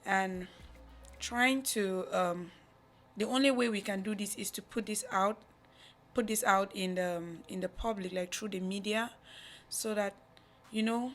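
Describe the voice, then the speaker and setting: Halting Cameroonian woman, reflective interview guest. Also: medium pitch, fairly even intonation, faint music behind. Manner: halting, pausing mid-thought. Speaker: podcast guest, charity representative. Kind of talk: reflective personal account.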